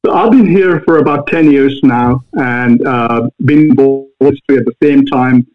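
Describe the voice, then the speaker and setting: A man with an Indian accent, a guest answering interview questions earnestly, pausing often on fillers. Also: mid-range voice, faint music behind. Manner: earnest, halting with many fillers. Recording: tinny phone-like line.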